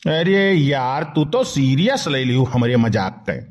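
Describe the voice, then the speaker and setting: A man's voice: medium pitch, very animated, unhurried. A man voicing a teasing village fellow in a comedy cartoon, speaking colloquially with an Awadhi accent.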